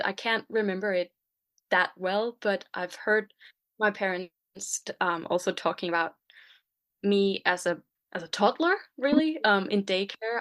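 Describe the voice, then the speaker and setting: A young woman with a Danish accent, a guest talking casually in an interview, often pausing with fillers and restarting her sentences. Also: medium pitch, a bit bright. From Denmark. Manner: hesitant, many fillers and restarts. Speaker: guest artist. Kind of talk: casual conversation.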